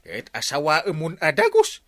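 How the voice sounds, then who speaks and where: An actor playing an excitable, teasing village uncle in a comedy radio drama, halting and full of exclamations. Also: male voice, on the high side, no room sound, studio quality, full character voice. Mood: excited teasing.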